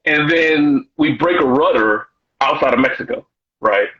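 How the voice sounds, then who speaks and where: A man's voice: fairly high pitch, unhurried, fairly bright tone, slightly rough. A man in his thirties from Washington, D.C., a stand-up comedian telling stories as a guest in a casual interview, slangy and loose, with lots of "you know". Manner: laid-back slangy storytelling with frequent "you know". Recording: dull lo-fi audio.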